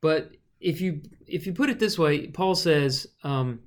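A man with a thin, reedy voice, co-hosting a podcast and explaining Bible passages in a thoughtful, unhurried way, with frequent fillers. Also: on the high side, animated. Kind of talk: casual teaching conversation.

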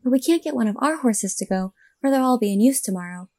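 A woman voicing an excited young woman who talks quickly to her friend. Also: a little high, dry recording.

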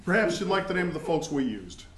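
Woman playing irritable bickering spouse, low animated voice. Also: fast, very noisy. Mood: indignant. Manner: dry, sarcastic, indignant. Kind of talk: live comic stage play reading. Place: live event in a roomy space. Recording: echoey live room.

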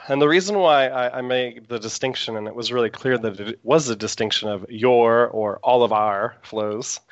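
A man's voice: medium pitch, no echo, dull audio. A man hosting a live internet radio talk show, chatty and meandering with frequent fillers, speaking through a sore throat.

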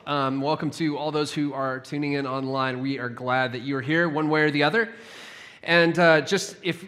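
A man in his forties giving a sermon as pastor, telling relaxed, humorous stories with frequent fillers. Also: a little high. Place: church service.

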